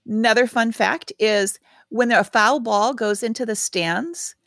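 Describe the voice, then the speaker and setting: A woman's voice: a little high, measured pace, a bit bright, dry recording, studio quality. A woman co-hosting a podcast, telling stories in casual chat with wry asides. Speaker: podcast co-host.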